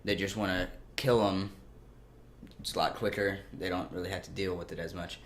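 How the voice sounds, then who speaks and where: A man's voice: sits low, fairly even intonation, normal speed, slight background noise. A young man co-hosting a casual chat, rambling and losing his train of thought. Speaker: co-host.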